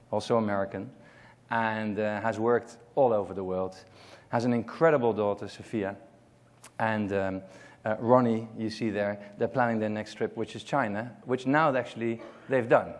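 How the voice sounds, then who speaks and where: A man's voice: on the low side, fairly even intonation, normal speed. A middle-aged man with a Dutch accent giving a joking, self-deprecating conference talk, with frequent ums and uhs. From Netherlands. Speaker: conference speaker. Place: conference hall stage.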